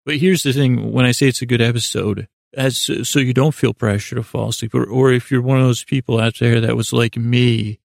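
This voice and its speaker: A man with a gravelly voice hosting a sleep podcast in a rambling, hesitant, self-deprecating monologue that keeps drifting into tangents. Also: mid-range voice, fairly even intonation, fairly quick, hardly any pauses, no echo, studio quality. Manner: meandering, hesitant, with self-deprecating asides and acted-out imaginary dialogue.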